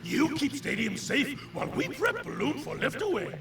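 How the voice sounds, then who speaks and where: A man voicing an excitable, friendly creature who speaks in broken grammar in a video game. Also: very high voice, normal speed, gravelly, full character voice. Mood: eager. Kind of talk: animated video game acting. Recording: echoey, distant, loud music behind.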